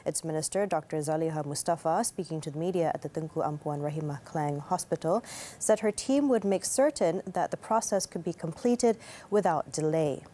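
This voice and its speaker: A woman's voice: deep voice, brisk, warm tone. A woman reading scripted news as an anchor.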